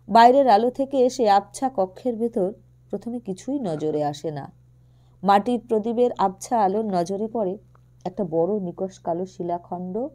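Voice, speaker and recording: A woman reading a literary romantic story aloud as narrator, in an expressive storytelling style. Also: medium pitch.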